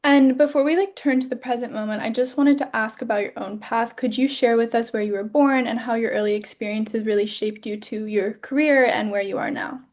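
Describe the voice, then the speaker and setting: A woman's voice: a little high, steady tone, a little fast, hardly any pauses, darkish tone. A young woman hosting an interview, first reading a guest introduction and then asking questions in an earnest, gracious way. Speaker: host.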